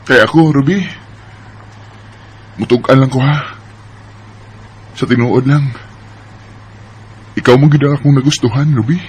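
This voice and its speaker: A man's voice: mid-range voice, fairly even intonation, unhurried, long pauses, slight background noise, natural voice. A man with a Bisaya Filipino accent playing an earnest, teasing young suitor in a radio drama. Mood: tender, earnest.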